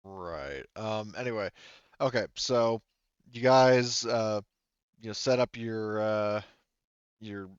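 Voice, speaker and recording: Low-voiced, halting male game master. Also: clean tone, no room sound, muffled recording. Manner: halting, hesitant, frequently trailing off. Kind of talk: improvised narration of a tabletop roleplaying game.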